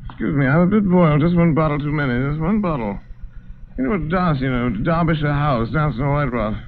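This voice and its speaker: An actor playing a drunken, rambling in an old radio drama, with a slurred, nosy manner. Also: male voice, a little high, expressive, fast, background noise. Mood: tipsy. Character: drunken upper-class Englishman, rambling and nosy. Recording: tinny lo-fi old radio recording.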